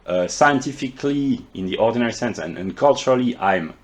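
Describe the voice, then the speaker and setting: A man with a French accent speaks English, his second language, in an unscripted, measured philosophical talk. His voice sits in an ordinary middle pitch, and he often stalls and repeats small words as he reaches for the right term. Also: lively intonation. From France. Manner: halting, stammers and repeats words while searching for terms; measured, explanatory, professorial. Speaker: philosophy commentator.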